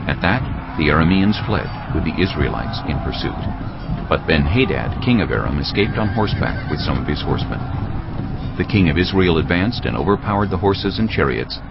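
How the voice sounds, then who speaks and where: A man's voice: very deep voice, lively intonation, normal speed, creaky. A man narrating a dramatised Bible story as a scripted reading. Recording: dull lo-fi audio with music. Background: background music.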